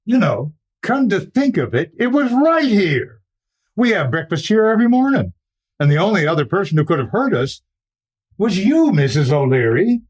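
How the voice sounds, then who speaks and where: A man's voice: high-pitched, big pitch swings, normal speed. A man playing an earnest, folksy old West townsman in a radio western, speaking emphatically. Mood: dawning realization.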